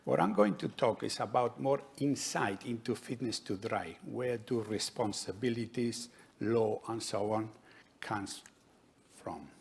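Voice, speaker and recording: Low gravelly man with a Spanish accent. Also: fairly even intonation. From Spain. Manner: measured, explanatory, with light humour. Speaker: conference speaker, DVLA doctor. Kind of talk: conference talk. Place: conference hall.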